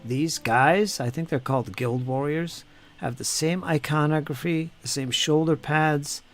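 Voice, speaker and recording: A man's voice: on the high side, measured pace, soft background music. A man hosting a casual live stream while painting miniatures, chatting with viewers by name and muttering to himself as he works. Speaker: hobby streamer.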